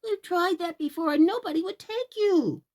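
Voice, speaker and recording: A woman voicing an excitable, scolding poor widow in a children's storybook, dramatic and exclaiming. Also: extremely high pitch, normal speed, pitched above the performer's own voice. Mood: fretful.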